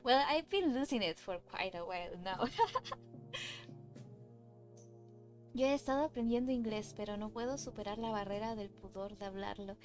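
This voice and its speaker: A woman's voice: mid-range voice, expressive, over music. A woman whose first language is Cantonese, chatting casually and hesitantly while streaming herself drawing. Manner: hesitant, nervous, halting with restarts. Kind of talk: casual livestream chat.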